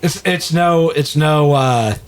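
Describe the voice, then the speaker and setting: A man with a slightly raspy voice, chatting casually as a co-host of a music discussion. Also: a little high, slow pace. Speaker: podcast co-host. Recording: clear background noise.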